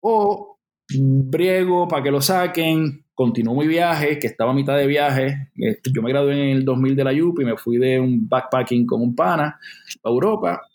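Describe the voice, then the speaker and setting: A man's voice: mid-range voice, normal speed, darkish tone. A man in his forties from Puerto Rico with a Puerto Rican accent, speaking as an interview guest in an earnest, reflective way, thinking aloud with restarts. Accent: Puerto Rican Spanish. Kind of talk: conversational interview.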